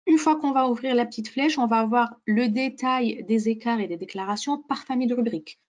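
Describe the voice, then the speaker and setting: A woman giving an unscripted webinar demonstration of a software tool, explaining it step by step. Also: a little high, a little fast.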